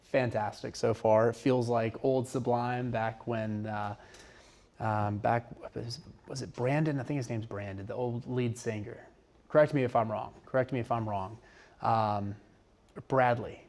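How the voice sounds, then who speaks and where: Halting American male TV co-host. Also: mid-range voice, steady tone, darkish tone. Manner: halting, repeats himself, thinks aloud. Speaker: TV news show co-host. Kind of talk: casual unscripted studio chat.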